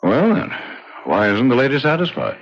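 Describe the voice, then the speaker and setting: An American actor playing a smooth, measured, faintly condescending psychiatrist in a 1970s radio drama. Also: male voice, medium pitch, wildly swooping pitch. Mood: politely evasive. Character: smooth, probing male psychiatrist with unsettling calm. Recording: dull, noisy 1970s radio recording.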